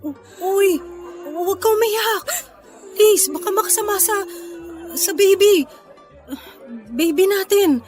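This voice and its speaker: High-voiced, hesitant, lovestruck Filipino partner. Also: female voice, slow, pauses often, a little mellow, faint music behind. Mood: soothing, giving in. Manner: hesitant, stammering, pleading. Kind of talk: Filipino radio drama acting.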